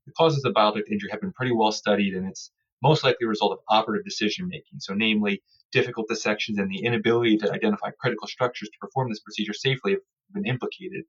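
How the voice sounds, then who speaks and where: Fast-talking low-voiced man, slightly muffled. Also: steady tone, breathy edge. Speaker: surgeon-researcher guest. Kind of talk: explanatory research interview, technical.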